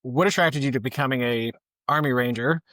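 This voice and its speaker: Guy podcast cohost, medium pitch, polite casual chat, dry recording. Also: brisk, a bit bright, no room sound, low-quality recording. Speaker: podcast co-host.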